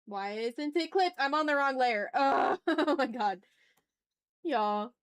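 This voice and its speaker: A woman with a fairly high voice hosts a casual drawing livestream. She talks informally, half to herself and half to viewers, in slangy, playfully dramatic asides. The sound is very dry, with no room echo. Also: lively intonation, slow. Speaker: art livestreamer, host.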